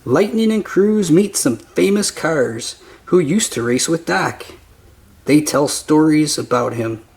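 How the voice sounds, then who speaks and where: A man reading a children's picture book aloud as narrator, with simple, deliberate phrasing for young listeners. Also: fairly high pitch, animated, vocal fry, a little mellow, a little hiss or hum. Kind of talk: slow scripted children's story narration.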